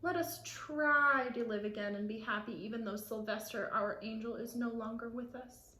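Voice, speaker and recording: A woman's voice: on the high side, fairly even intonation, moderate pace, pushed and bright, reverberant, rough recording. A woman voicing a sad donkey father in a storybook who tries to stay cheerful, earnest and emphatic. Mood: gently encouraging.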